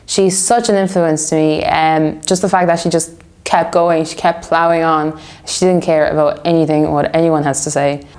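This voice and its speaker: A woman's voice: low voice, flat delivery, moderate pace, a little mellow. A woman of twenty-one, talking casually and earnestly in an interview, giving her opinions. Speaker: music student and band musician being interviewed.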